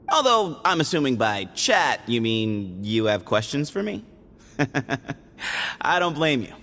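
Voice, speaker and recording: A man voicing a sly, playful young man in a video game, teasing and evasive, with a relaxed manner. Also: medium pitch, a little hiss or hum, exaggerated voice. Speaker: game character.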